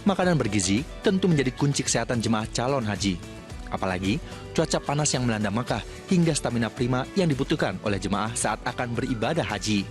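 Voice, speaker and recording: A man's voice: medium pitch, expressive, fast, over music. A man narrating a scripted news feature with casual slang and a chatty, playful delivery.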